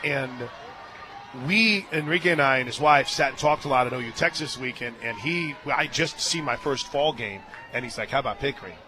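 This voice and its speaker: An American man doing live radio play-by-play of softball from a stadium booth, mixing casual banter with animated calls of the action. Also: fairly quick. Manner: animated and shouting on big plays, conversational between pitches. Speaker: play-by-play announcer.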